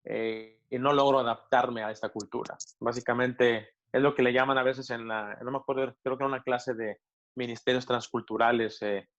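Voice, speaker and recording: A middle-aged Mexican pastor with a Mexican Spanish accent answers questions over a video call. He speaks reflectively, pausing and restarting often as he works through his thoughts. His voice sits at an ordinary pitch and comes through a slightly dull, lo-fi connection. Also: steady tone. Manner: thoughtful, with many hesitations and restarts. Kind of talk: interview answers.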